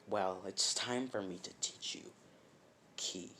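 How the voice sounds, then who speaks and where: A man's voice: low voice, slow, sharp tone, heavily acted. A man voicing an old dragonborn martial arts master in an amateur story, blunt, grave and solemn. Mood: grave, matter-of-fact.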